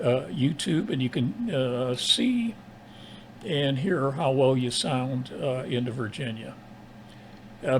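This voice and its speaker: Gravelly American man on ham radio. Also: medium pitch. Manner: halting, rambling. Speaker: amateur radio operator. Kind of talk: casual ham radio chat. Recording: clearly noisy background.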